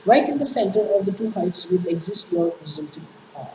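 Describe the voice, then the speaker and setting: A woman's voice: mid-range voice, animated. A woman with a Pakistani accent, a native Urdu speaker, giving an engineering lecture and walking through worked problems with frequent fillers and restarts. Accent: Pakistani English. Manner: halting, many fillers and restarts. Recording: echoey room, distant mic, noisy.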